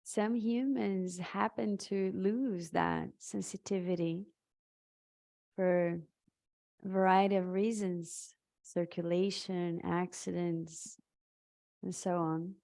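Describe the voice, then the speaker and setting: A woman leading a live guided meditation in a soothing, halting way, leaving long pauses between short phrases. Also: lowish voice, steady tone, gravelly, mellow.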